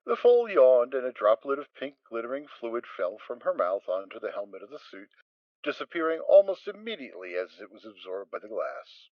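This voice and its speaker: A man narrating a fan audio drama, reading with expressive storytelling. The audio is dry and slightly dull. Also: mid-range voice, a little fast, no room sound.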